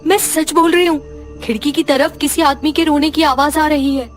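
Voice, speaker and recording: An anxious young wife from a horror cartoon, voiced by a woman, in a high voice. She sounds worried and hesitant, with faint music behind her. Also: steady tone, moderate pace, natural voice. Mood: frightened.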